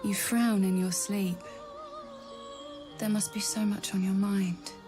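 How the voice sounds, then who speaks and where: An actress playing a mysterious, seductive woman who visits dreams, coaxing softly and intimately in a video game. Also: mid-range voice, level tone, slow pace, music in the background, lightly acted. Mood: seductive, soothing. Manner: breathy, coaxing, intimate, often whispering. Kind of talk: video game dialogue acting.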